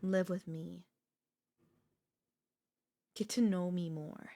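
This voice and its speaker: A woman playing an obsessive, possessive sister-in-law in an intimate ASMR audio roleplay, coolly confessing her love with deliberate pauses. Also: fairly low pitch, steady tone, mellow. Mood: tender, possessive. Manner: slow, deliberate, with long pauses.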